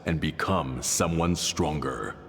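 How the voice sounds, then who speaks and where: Deep male voice, imposing solemn master, dramatic game dub, long reverb, faint music. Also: takes it slowly, vocal fry, exaggerated voice. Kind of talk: dramatic anime game dubbing.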